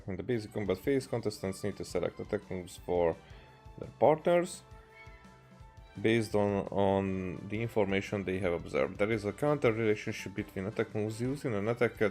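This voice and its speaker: A man. He gives casual gameplay commentary, reading on-screen text aloud in a halting way. Faint game music plays behind him. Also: fairly low pitch, darkish tone. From Hungary. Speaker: game streamer.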